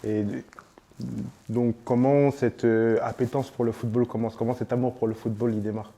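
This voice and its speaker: Male interviewer, mid-range voice, warm tone, laid-back slangy questions. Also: mid-range voice, flat delivery, normal speed. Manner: informal, slangy, many fillers. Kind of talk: casual interview chat. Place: on location in a sports venue.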